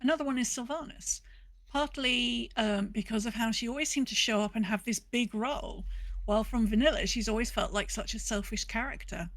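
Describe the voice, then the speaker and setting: A woman co-host speaks in a casual podcast style, moving between chat and reading aloud. Her voice sits fairly high with plenty of creak at the edges. The sound is dry, with no room to it. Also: fairly quick, a bit bright, dry recording.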